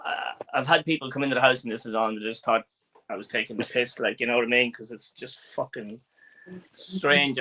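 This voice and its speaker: Bright-voiced sweary man on a call. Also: mid-range voice, low-quality recording. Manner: blunt, sweary, talks about albums. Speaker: host. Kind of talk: casual chat. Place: home room with shelves of films. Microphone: webcam video call.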